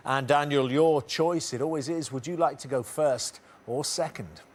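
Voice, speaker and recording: Brisk teasing male quiz host. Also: a little high. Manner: brisk, teasing, reads multiple-choice questions. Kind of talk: scripted TV quiz hosting. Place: TV studio.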